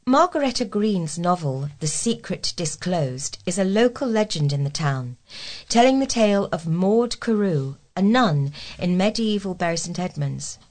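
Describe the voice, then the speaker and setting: A woman's voice: on the low side, expressive, unhurried, a little mellow. A woman with a British accent giving a scripted reading of local articles as a volunteer reader.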